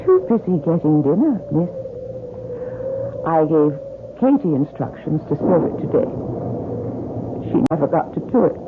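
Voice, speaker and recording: An indignant household cook in an old radio drama. She scolds and accuses with big swings of intonation. The recording is tinny, with clear background noise. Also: deep voice, very animated, unhurried, long pauses. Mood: defensive. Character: indignant, spiteful female household cook and servant.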